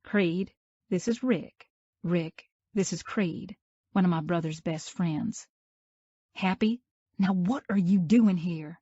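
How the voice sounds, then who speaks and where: A man's voice: high-pitched, slow, warm tone, no echo, muffled recording, pitched above the performer's own voice. A man putting on a sassy teenage girl.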